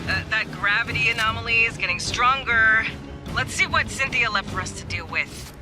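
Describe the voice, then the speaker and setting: A woman's voice: fairly high pitch, moderate pace, music in the background, close to an everyday voice. A woman playing a tough, profane marine squad leader in a video game, brusque, slangy and commanding. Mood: brisk, wary. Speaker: fire team leader.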